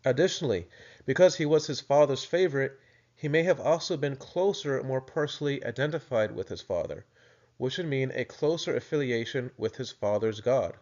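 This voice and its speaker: A man's voice: mid-range voice, expressive, moderate pace, darkish tone, highs cut off. An American man presenting a video lesson in a casual, explanatory way, often restarting his sentences. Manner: thoughtful, with frequent restarts and fillers.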